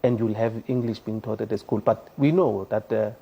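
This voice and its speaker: A man's voice: fairly low pitch, moderate pace, creaky, mellow, breathy edge. A South African man speaking as a studio guest on a panel, earnest and hesitant with many fillers. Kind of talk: panel discussion.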